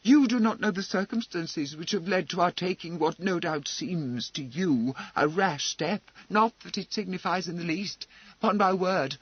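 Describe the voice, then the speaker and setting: A man voicing a sulky, blustering young gentleman who speaks high and indignant. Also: normal speed, slightly rough, dry recording, highs cut off. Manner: blustering and indignant, rising pitch.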